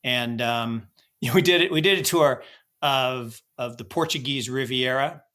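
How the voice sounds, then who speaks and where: A man's voice: mid-range voice, normal speed, fairly bright tone. An American man co-hosting a podcast, chatting casually and animatedly about markets and travel, often restarting his sentences. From United States. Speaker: podcast co-host.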